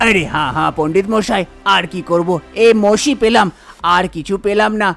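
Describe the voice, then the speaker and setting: একজন পুরুষের অভিনয়ে কার্টুনের অনুনয়ী বাবা, চড়া গলা. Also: male voice, sits high, fairly quick, gravelly, a bit bright. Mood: eager, resigned. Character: bride's eager, resigned father in a village tale. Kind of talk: Bengali cartoon story dialogue.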